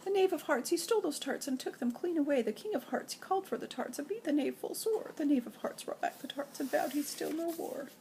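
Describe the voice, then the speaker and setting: An older woman reads children's verse aloud like a grandmother at storytime. Her voice sits a little high and moves with a playful, sing-song storyteller's lilt. The recording is amateur, with faint noise behind her. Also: expressive, brisk, few pauses, a little mellow, rough recording. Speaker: grandmother reading children's poems. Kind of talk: expressive read-aloud of nursery rhymes and poems.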